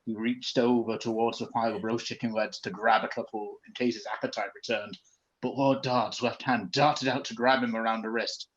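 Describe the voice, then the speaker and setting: A man's voice: medium pitch, fairly even intonation, normal speed, fairly bright tone, lo-fi sound. A man reading fan fiction aloud for comedy, often breaking off into amused, self-mocking asides.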